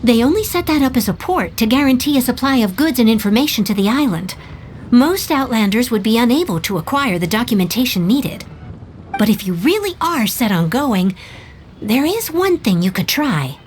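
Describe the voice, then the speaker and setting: A man voicing a calm, reflective young wandering samurai who speaks softly and with care in video game dialogue. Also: very high-pitched, a little hiss or hum. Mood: helpful. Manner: soft-spoken, measured, reflective. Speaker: wanderer from abroad. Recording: game audio with music underneath.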